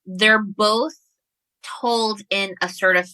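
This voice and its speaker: A woman's voice: medium pitch, fairly even intonation, takes it slowly, sharp tone, dry recording, poor audio. A woman speaking as a guest author in a casual discussion about books.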